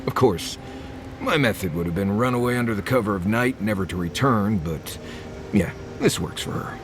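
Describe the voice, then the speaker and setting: A man's voice: fairly low pitch, lively intonation, normal speed, lightly acted. A man playing a wry, self-preserving adventuring companion in a video game, talking in an offhand, casual way. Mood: wry amusement. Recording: clear ambient noise behind.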